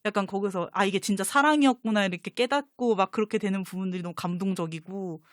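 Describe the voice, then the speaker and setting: A woman's voice: mid-range voice, fast, dry recording, studio quality. A woman chatting casually as a guest, telling fan stories in an effusive, gushing way. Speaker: talk show guest, comics publisher designer and voice-drama fan.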